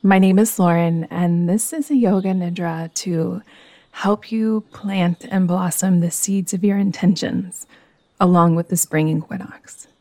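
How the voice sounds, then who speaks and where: Slow, soft-pitched woman guiding meditation. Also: steady tone, dry recording. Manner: soothing, gentle, unhurried. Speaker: yoga nidra meditation guide and host. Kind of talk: guided meditation.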